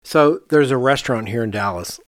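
Man, American accent, mid-pitched voice, conversational co-host, explanatory, thinking aloud, very dry sound. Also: brisk, no room sound. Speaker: podcast co-host and architect. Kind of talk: casual conversational discussion of architecture.

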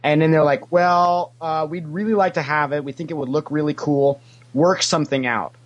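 A man's voice: a little high, fairly even intonation, normal speed, dull audio. Talkative man telling casual interview anecdotes. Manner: talkative storyteller, acts out quoted remarks. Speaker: podcast guest, former theme park character actor.

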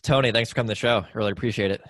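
A man hosting a podcast, reading a measured introduction and asking interview questions. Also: fairly low pitch, fairly even intonation, fairly bright tone, no room sound, studio quality. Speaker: podcast host.

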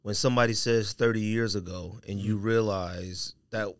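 A man chatting casually as a podcast host, in slangy, animated banter. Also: lowish voice, normal speed, no room sound, clean studio sound. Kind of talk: casual chat.

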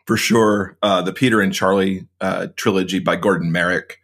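A man's voice: low-pitched, steady tone, moderate pace, a bit bright. A man, a podcast co-host, talking casually and keenly as an interview guest. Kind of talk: casual conversation.

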